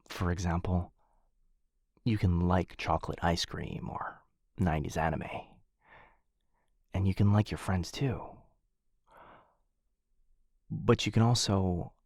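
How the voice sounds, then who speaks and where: A man plays a shy dog-person roommate in a boyfriend ASMR roleplay, tender and hesitant. His voice is low and breathy, often slipping toward a whisper. He takes his time with words, speaking intimately close to the mic. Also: darkish tone, no echo, full character voice. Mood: nervous, hesitant. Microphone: close microphone in a dry space.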